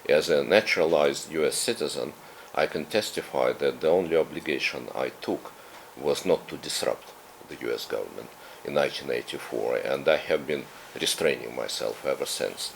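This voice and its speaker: Audience man, Russian accent, very low gravelly voice, deadpan wit. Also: normal speed, a little hiss or hum. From Soviet Union. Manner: dry wit, hesitant. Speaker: audience member asking a question. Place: lecture room, audience.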